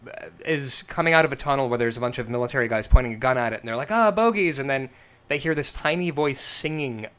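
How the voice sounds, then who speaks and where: A man with a rough, smoke-worn voice chatting casually on a film podcast, animated and quick to swear. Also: medium pitch. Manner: animated, swearing, acts out quotes. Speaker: podcast co-host.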